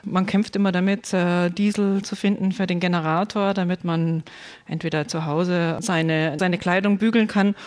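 A woman's voice: lowish voice, steady tone, moderate pace, a little mellow. A woman from Europe speaking as an interview guest, giving conversational answers.

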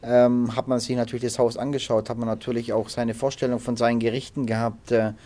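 Chef in his thirties, male, halting and full of fillers, flat, unvaried delivery. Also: medium pitch. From Berlin, raised in southern Germany. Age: 30s. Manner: halting, many fillers and restarts. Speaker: head chef. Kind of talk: interview.

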